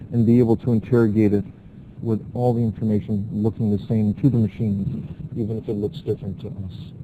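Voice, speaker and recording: An American man giving a university lecture, explaining step by step with frequent filler pauses. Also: fairly low pitch, warm tone, a little hiss or hum, rough recording.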